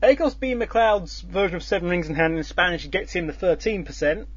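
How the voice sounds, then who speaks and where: A man hosting a casual talk, his voice sitting a little high. He rambles through the explanation, full of ums and restarts and the odd tease. The recording is dull and lo-fi. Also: a little fast, husky. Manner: rambling, many fillers and restarts, teasing. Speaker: host. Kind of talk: casual chat explaining a contest's scoring. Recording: dull lo-fi sound.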